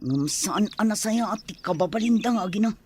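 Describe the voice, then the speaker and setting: A woman's voice: mid-range voice, animated, a little fast, a little mellow, slight background noise, natural voice. A woman playing a practical, caring village elder sister in an Ilocano radio drama, with an Ilocano accent. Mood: concerned.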